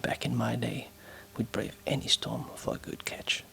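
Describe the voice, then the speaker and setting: A man voicing a weathered old fisherman who is gruff and mischievous, speaking in a conspiratorial whisper. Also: medium pitch, very animated, moderate pace, sharp tone, heavily acted, low-quality recording.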